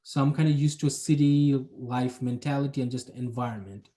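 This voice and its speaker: A man's voice: mid-range voice, steady tone, a little fast, dark tone. Reflective man, young adult. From Bangladesh. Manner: reflective, hedging, many "you know" and "kind of" fillers. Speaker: urban planner, guest. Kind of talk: casual interview talk.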